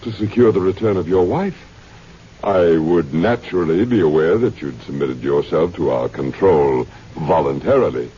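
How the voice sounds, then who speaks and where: An actor playing a suave, learned older scientist in a radio drama, formal, precise and smoothly condescending. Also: male voice, low-pitched, animated, normal speed, dark tone. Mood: grandly pleased. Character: suave, learned old male scientist running a grand project. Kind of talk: old-time radio drama acting. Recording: muffled, noisy vintage radio recording.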